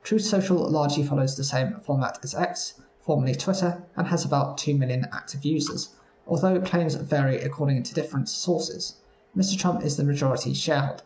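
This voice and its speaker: A man's voice: a little high, fairly quick, a little mellow. Man reading news in an echoey room. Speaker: news reader. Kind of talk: reading news articles aloud.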